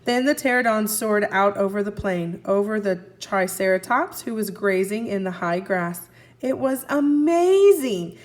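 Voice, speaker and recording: A woman teacher reading a story aloud to young children, expressively, pausing to recap and ask the listeners questions. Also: medium pitch. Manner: expressive, asks listeners questions and answers for them. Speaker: first grade teacher.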